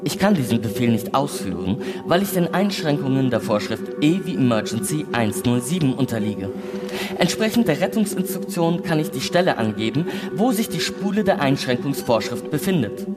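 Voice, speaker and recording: A man's voice: mid-range voice, very animated, moderate pace, natural voice. A man playing an emotionless space station computer in a science fiction radio play, announcing in precise, bureaucratic language. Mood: formal, impassive alarm. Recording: loud music and effects behind. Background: music and sound effects.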